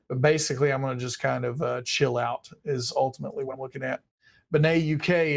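A man's voice: mid-range voice, creaky. A man in his thirties hosting a live viewer question stream, his voice partly worn out, reading questions and answering in a rambling, offhand way. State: partly lost voice. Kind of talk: casual live Q&A. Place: small dim room indoors.

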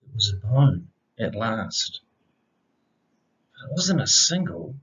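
A middle-aged man reads a children's story aloud. His delivery is expressive and unhurried, with animated pitch, and the recording is slightly dull and lo-fi. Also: husky. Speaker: storybook reader, educator. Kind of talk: children's read-aloud of rhyming picture book. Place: indoor room. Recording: slightly dull, lo-fi webcam sound.

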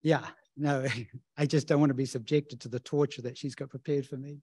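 A man's voice: medium pitch, flat delivery, brisk, dark tone, slightly rough, no room sound. A man from New Zealand with a New Zealand accent, giving a casual, chatty conference presentation as a professor, often tagging "right?". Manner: casual, chatty, tag questions.

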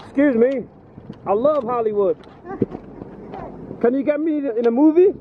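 A man's voice: very high voice, measured pace. A man, vlogging outdoors and chatting playfully with strangers into a handheld camera. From England. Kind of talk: casual vlog chat. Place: outdoors on a hillside lookout. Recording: dull sound with loud wind noise.

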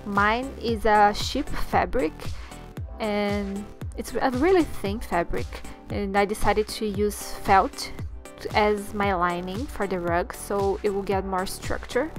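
A young woman from Brazil with a Brazilian Portuguese accent, talking through a sewing tutorial in her second language with chatty, slightly halting phrasing. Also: medium pitch, faint music behind. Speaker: sewing channel host. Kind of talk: friendly sewing tutorial talk and voiceover.

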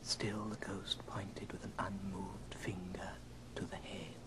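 A man's voice: low-pitched, monotone, slow, a bit bright, in a whisper, rough recording. A man narrating an old radio ghost drama as a grave storyteller, solemn and dramatic. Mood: solemn, eerie. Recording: old record with music underneath.

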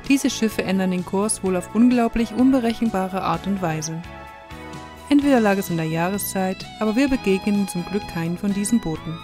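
A woman's voice: medium pitch, animated, a little fast. A woman reading a scripted travel narration for a vlog. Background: music.

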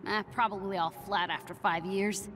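A woman's voice: medium pitch, steady tone, normal speed, fairly bright tone, slight background noise, lightly acted. A young woman playing an eager, awestruck teenage superhero fan in a video game, gushing and awkwardly playful.

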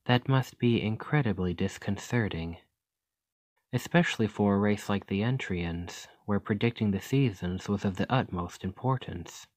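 A man playing an earnest, chatty scholar in an ASMR roleplay, speaking gently and hesitantly as he rambles and interrupts himself. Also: lowish voice, moderate pace, no room sound, lightly acted. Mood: musing, rambling. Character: earnest, rambling male scholar gently reassuring a captive creature.

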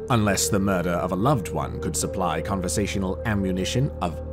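An actor playing a haughty, brooding detective in an audio drama, with ornate, theatrical diction. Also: male voice, deep voice, brisk, loud music behind the voice, close to an everyday voice. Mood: brooding, contemptuous. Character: haughty, brooding male detective investigating a village murder. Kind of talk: dramatic audio novel narration and dialogue.

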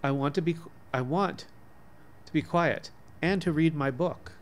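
A man reading the part of a reluctant, mildly put-upon bookish father, gentle and resigned. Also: on the high side, normal speed, lightly acted. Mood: gently declining.

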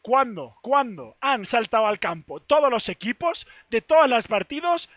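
A hoarse young man who has lost most of his voice, chatting excitedly to his stream on a headset mic in a studio booth. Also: very high-pitched, no room sound. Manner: excited, repetitive. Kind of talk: casual stream chat.

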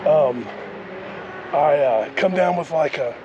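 A man in his thirties who has lost his voice to a cold, talking hoarsely and haltingly to a camera held at arm's length on a rainy farm. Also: on the high side, lo-fi sound, a crowd in the background. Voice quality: hoarse, strained. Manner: plain, halting with fillers. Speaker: farm vlogger. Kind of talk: casual vlog talk to camera. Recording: noisy outdoor recording.

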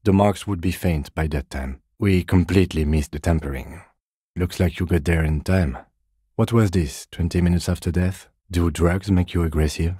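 A man voicing a composed, methodical police detective in a video game, with a measured, restrained, deadpan delivery. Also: low voice, breathy edge, dry recording, studio quality. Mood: calm, probing. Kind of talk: scripted game dialogue.

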